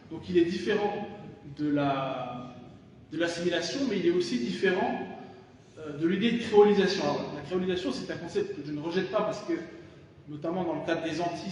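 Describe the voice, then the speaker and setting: A man's voice: on the high side, a little mellow. A man giving a public lecture. He talks in a thoughtful way, with frequent hesitations and restarts. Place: lecture hall. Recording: echoey hall from far off, faint noise.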